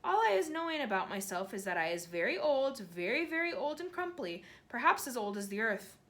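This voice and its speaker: A woman voicing a huge, very old and kindly male giant who jumbles his words with eager, excitable made-up phrases in a storybook reading. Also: on the high side, brisk. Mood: earnest, explaining. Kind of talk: storybook read-aloud.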